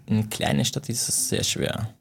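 A man's voice: unhurried, soft background music. A young man who grew up in Iran, speaking German as an in an interview, hesitant and full of fillers and restarts. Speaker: guest, martial arts coach.

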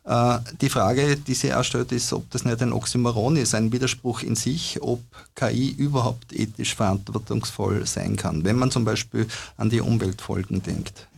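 A man with a gravelly, husky voice explaining ideas as a guest in a discussion. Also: medium pitch, steady tone, moderate pace, few pauses, darkish tone.

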